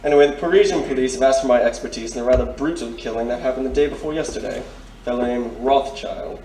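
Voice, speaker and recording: A man's voice: mid-range voice, quick pace, warm tone, natural voice. A man playing a courteous, shrewd detective major in an audio drama, speaking formally and with polite insinuation. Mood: measured, grave. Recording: roomy, distant mic, faint noise.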